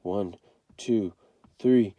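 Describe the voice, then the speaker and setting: Guy reading as a scared little kid from a storybook, dramatic n pleading, kinda dark mellow voice. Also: lowish voice, very slow, no room sound, studio quality. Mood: self-calming. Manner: dramatic, pleading, talking to himself. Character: frightened, imaginative young boy in danger of drowning.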